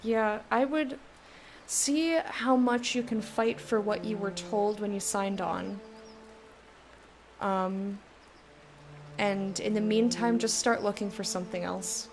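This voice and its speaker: A woman's voice: mid-range voice, fairly even intonation, slow, long pauses, a little hiss or hum. A woman who hosts a let's play, reading the game's text aloud and chatting casually.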